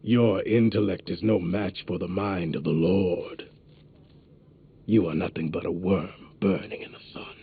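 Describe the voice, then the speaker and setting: A man playing a stern, righteous zealot warrior in a video game, gravelly and husky, preaching in solemn pronouncements. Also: deep voice, steady tone, a little slow, phone-quality sound, exaggerated voice. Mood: righteous menace. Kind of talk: video game villain acting.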